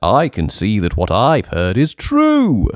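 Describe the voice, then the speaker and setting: A man's voice: on the low side, huge pitch swings, slow pace, dry recording, heavily acted. A regal goblin king who speaks grandly and sternly, as a storybook reader plays him. His voice rises and falls widely, and the sound is dry and close.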